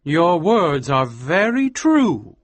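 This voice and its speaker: Intense scientist husband, man voicing him, solemn, earnest, lively pitch. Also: takes it slowly, dry recording. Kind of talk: storybook reading.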